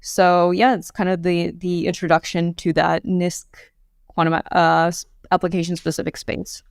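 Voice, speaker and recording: A young adult woman as a guest on a podcast interview, talking casually with lots of fillers and quick laughs. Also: on the low side, level tone, gravelly, no room sound, studio quality. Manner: chatty, many fillers, laughs often. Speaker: guest, quantum hardware startup.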